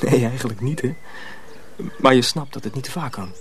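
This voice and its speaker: A man's voice: medium pitch, normal speed, fairly bright tone, slight background noise, natural voice. An actor playing an earnest young man in danger, speaking intimately in a radio drama. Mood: earnest, urgent.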